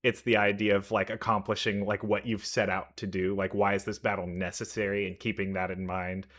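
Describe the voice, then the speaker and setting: A man's voice: on the low side, little pitch movement, a little fast, fairly bright tone. A man giving casual game trailer commentary as a reaction host, thinking aloud in an enthusiastic, rambling way. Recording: music behind the voice.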